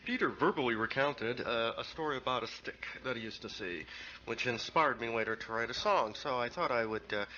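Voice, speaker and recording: A man talks casually, introducing a piece. His voice is bright and forward at a medium pitch. The recording is dull, with faint noise. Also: a little fast.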